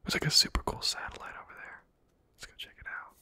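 A man giving casual, playful ASMR game commentary in a hushed, breathy half-whisper, muttering to himself close to the microphone. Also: fast talker. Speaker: gaming video host.

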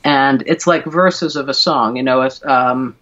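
A middle-aged woman explaining things thoughtfully and haltingly as an interview guest, over a video call. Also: very deep voice, fairly bright tone. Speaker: guest, verse translator and classicist. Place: indoor room at home, video call. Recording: dull, lo-fi video call audio.

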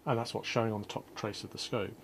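A man calmly explaining an electronics repair at his workshop bench, step by step, with frequent ums and uhs. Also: on the low side, fast, gravelly, slight background noise. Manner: calm, methodical, many ums and uhs. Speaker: electronics restorer. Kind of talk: technical repair walkthrough.

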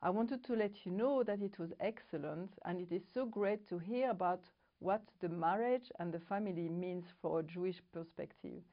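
A woman's voice: fairly low pitch, dull audio. French-accented woman presenting a TV show. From France. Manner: halting, searching for English words. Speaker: co-host. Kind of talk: casual presenting to camera. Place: studio set with bookshelves. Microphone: lapel.

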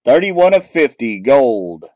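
A man talks slowly and easily as he runs through pack after pack, calling out names and teams in a relaxed, chatty way. His voice sits at an ordinary middle pitch. The sound is dry and close, with no room echo, from a camera mic on a table. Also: lo-fi sound. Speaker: card break host. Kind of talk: casual commentary while opening trading card packs.

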